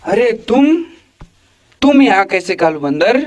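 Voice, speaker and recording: A man voicing a proud, boastful lion with a commanding manner in an animated moral story. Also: high-pitched, a little slow. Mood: surprised. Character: proud boastful male lion, quick to anger.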